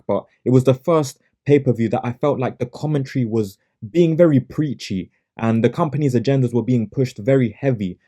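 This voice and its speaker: Young guy ranting about wrestling, dark mellow voice, second-language english, sarcastic. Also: medium pitch. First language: not English. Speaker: wrestling reviewer. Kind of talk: opinionated solo review.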